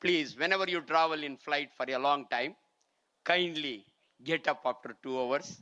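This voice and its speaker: A man's voice: fairly high pitch, moderate pace, bright, forceful tone, lo-fi sound. An older man with an Indian English and Kannada accent, giving an earnest formal address as chief guest at a school function, switching between Kannada and English. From India, Karnataka.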